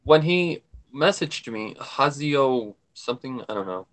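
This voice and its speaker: Male stream guest on a voice call, medium pitch, informal, rambling delivery. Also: lively intonation, unhurried, low-quality recording. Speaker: livestream guest. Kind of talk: casual chat over online call. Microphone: online voice call.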